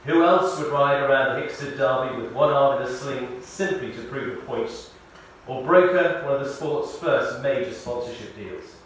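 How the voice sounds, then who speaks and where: Male eulogist, measured and drily humorous storyteller, reading a tribute in a very reverberant church, off-mic with faint noise. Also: mid-range voice, recorded from a distance. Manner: measured storytelling with dry humour. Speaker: eulogist at a memorial service. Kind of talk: prepared spoken tribute, read aloud. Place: speaking in a large church.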